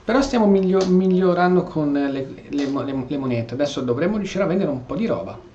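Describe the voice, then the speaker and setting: A man's voice: on the high side, expressive, quick pace, dark tone, highs cut off, slight background noise. A man with an Italian accent giving casual, informal gameplay commentary as a streamer. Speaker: gaming streamer. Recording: roomy echo, faint music behind.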